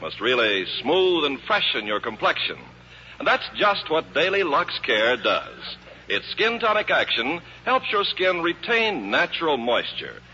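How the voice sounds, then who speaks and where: A man's voice: on the high side, big pitch swings, measured pace, pushed and bright. A man working as a 1950s radio announcer, reading scripted ad patter in a polished, salesmanlike way. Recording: dull, faintly noisy old radio broadcast.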